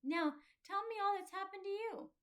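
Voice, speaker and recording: A woman's voice: very high-pitched, normal speed, voice pushed up. A woman voicing an eager, friendly young pilgrim boy in a children's storybook, with an earnest manner.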